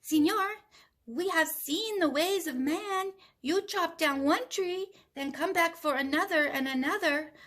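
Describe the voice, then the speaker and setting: A woman's voice: extremely high pitch, a little slow, a bit bright, voice pushed up. A woman voicing an urgent, indignant monkey from a children's storybook, speaking with insistent emphasis. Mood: urgent warning. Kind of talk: children's storybook read-aloud.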